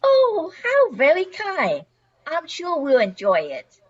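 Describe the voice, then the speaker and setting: A woman's voice: very high voice, huge pitch swings, slow pace, full character voice. An older woman playing a confident, polite suburban wife in her thirties in an amateur radio play. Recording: dull lo-fi sound.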